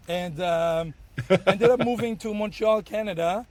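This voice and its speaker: A man's voice: sits high, a little slow, dry recording. Arabic-speaking man in his thirties, storytelling. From Tunisia. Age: 30s. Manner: animated storytelling, acts out his own past lines, many restarts. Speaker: bartender guest. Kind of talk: casual podcast conversation.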